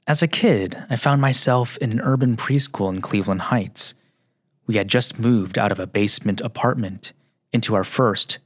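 A man hosting a radio show, narrating in a slow, measured, reflective way; his first language is Mandarin. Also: fairly low pitch, fairly bright tone, breathy edge, dry recording, studio quality.

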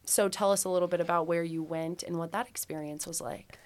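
A woman with a somewhat low voice co-hosts a podcast. She asks her guest questions in a friendly, conversational way. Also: steady tone, fairly quick. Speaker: podcast co-host. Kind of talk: interviewing.

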